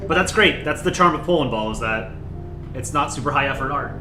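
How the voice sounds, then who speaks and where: A young man hosting a casual livestream, chatting in a relaxed, rambling way and thanking viewers by name. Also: medium pitch, fairly quick, echoey room, over music. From United States. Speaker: livestream host. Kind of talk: casual chat.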